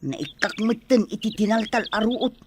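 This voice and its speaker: A woman's voice: medium pitch, animated, normal speed, a little mellow, a little hiss or hum, natural voice. A woman playing a concerned country elder sister in a radio soap drama.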